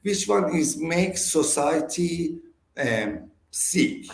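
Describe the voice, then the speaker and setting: A man from Iran with an Iranian accent, a native Persian speaker giving interview answers in halting, broken English. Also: fairly high pitch, animated. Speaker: interview guest. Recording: roomy echo.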